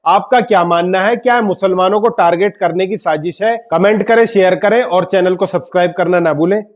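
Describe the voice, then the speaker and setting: A man with a clearly high-pitched voice presents political news commentary. He reads scripted material emphatically, addressing viewers directly with pointed rhetorical questions. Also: fairly quick. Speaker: news commentator and channel host.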